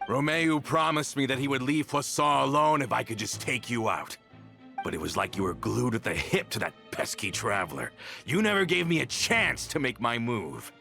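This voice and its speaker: A man's voice: medium pitch, expressive, moderate pace, creaky, sharp tone, soft background music. An actor voicing a bitter, vengeful villain in a video game, menacing and theatrical as he makes accusations. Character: bitter vengeful male villain, former gang member.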